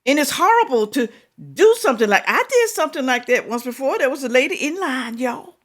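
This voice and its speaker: A woman hosting a reaction video, talking casually, scolding and animated with drawn-out exclamations. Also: high-pitched.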